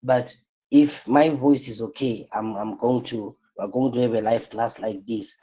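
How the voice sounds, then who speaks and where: Weary husky-voiced man, business coach in a live class, dark mellow tone. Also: mid-range voice, steady tone, measured pace, muffled recording. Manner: repeats points, asks rhetorical "what?" questions. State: tired, voice strained.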